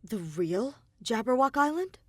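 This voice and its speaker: A woman's voice: fairly high pitch, very animated, measured pace, no room sound, studio quality, voice pushed up. A woman voicing an anxious, hesitant but earnest young man in an audiobook story. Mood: uneasy, puzzled. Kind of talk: audiobook character dialogue.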